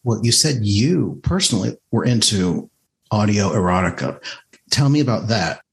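A man hosting a podcast and asking interview questions in a measured way. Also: lowish voice, very animated, vocal fry. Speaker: podcast host.

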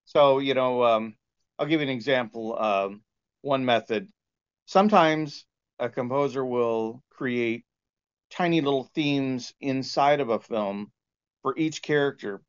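A man speaking as a composer and sound engineer, explaining his approach in a halting, informal interview manner. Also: mid-range voice, clear, resonant tone. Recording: dull lo-fi audio.

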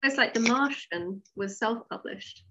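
Husky woman telling anecdotes casually. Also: a little high, unhurried, fairly bright tone, highs cut off. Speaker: author and audio drama writer, interview guest. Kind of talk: casual, anecdotal interview chat.

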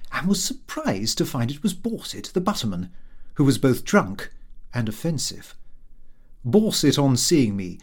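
A man's voice: mid-range voice, darkish tone. A man voicing a prim, pompous, middle-aged Victorian city clerk reading his diary. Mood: affronted, dignified. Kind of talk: audiobook narration of a comic diary.

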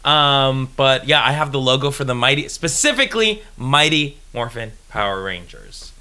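Man, from Colombia, native Spanish speaker, guest on a podcast, bright voice, very lively pitch, laughs a lot. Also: normal speed, clear, resonant tone. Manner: laughs often, animated storytelling. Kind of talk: casual chat.

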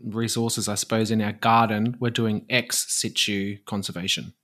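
A man's voice: on the low side, little pitch movement, moderate pace, pushed and bright. A man hosting a casual podcast, asking easygoing, curious questions. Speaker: podcast host.

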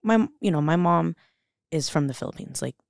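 A woman's voice: sits low, expressive, normal speed, creaky, darkish tone, no room sound, clean studio sound. A young adult woman, a podcast guest, speaking earnestly and emotionally, with many fillers and restarts. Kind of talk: candid interview conversation.

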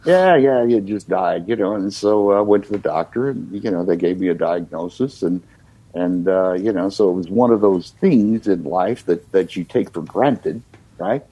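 A very low, husky voice from an older American man who talks things through slowly and thoughtfully, filling pauses with "you know." His tone is dark and mellow, and the recording is dry and close. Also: no room sound, rough recording. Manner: reflective, leans on "you know" fillers. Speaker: guest, singer-songwriter. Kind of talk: relaxed conversational storytelling.

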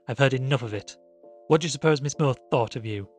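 A man voicing a sharp, anxious country woman who speaks in an emphatic rustic way in a storybook reading. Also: mid-range voice, quick pace, soft background music, close to an everyday voice. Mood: cross, scolding. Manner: emphatic, rustic colloquial speech.

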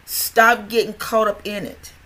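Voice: medium pitch, measured pace, bright, forceful tone. An older podcast host with a stutter, talking in a chatty, rambling way with many restarts and repeated words. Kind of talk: casual health podcast monologue.

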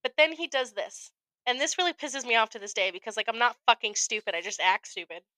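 A woman's voice: on the high side, fast, dry recording, poor audio. A young American woman co-hosting a podcast, telling a story in casual chat and acting out quotes with some swearing. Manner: animated retelling with acted-out quotes, frequent profanity. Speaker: podcast co-host.

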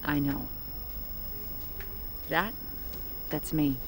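An actress in an audio drama playing a world-weary female police detective, terse and understated, with a low voice. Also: extremely slow, slight background noise. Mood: weary, subdued.

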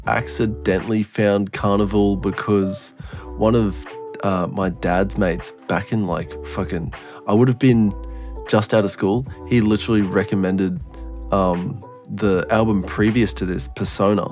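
Low-voiced rambling man, swearing casually. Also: fairly even intonation, unhurried, a little mellow, soft background music. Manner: rambling, heavy swearing, many fillers. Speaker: podcast co-host. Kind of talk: casual chat.

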